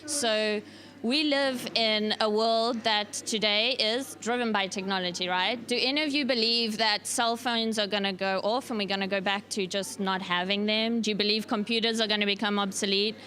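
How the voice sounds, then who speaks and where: A woman's voice: medium pitch, fairly even intonation, normal speed, pushed and bright. A woman giving a church workshop talk, speaking casually and checking in with the listeners as she goes. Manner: casual, often checks in with the audience. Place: church workshop room with a live audience.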